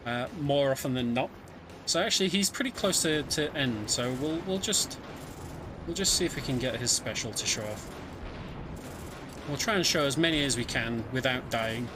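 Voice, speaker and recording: A man giving casual, conversational live commentary on a video game speedrun, often pausing with ums. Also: on the high side, noisy background. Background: game music.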